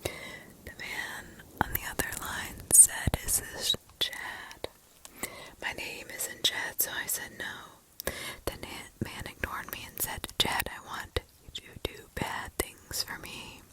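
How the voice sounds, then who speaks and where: A woman reads scary stories in a hushed, breathy ASMR whisper with deliberate pauses, close to the microphone. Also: poor audio. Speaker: ASMR host. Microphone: close ASMR microphone, ear to ear.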